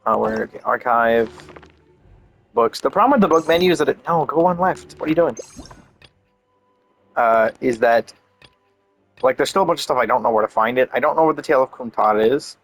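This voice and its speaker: A man's voice: mid-range voice, animated, brisk, long pauses. Man rambling casually over faint game music. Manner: rambling, thinking aloud. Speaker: game streamer. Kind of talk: casual gameplay commentary and chat.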